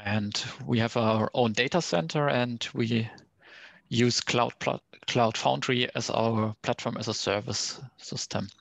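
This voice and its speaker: A man giving an explanatory tech presentation on an online call, in a careful, halting way. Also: mid-range voice, creaky, a bit bright, no room sound. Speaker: guest presenter, software developer. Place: online conference call with screen share.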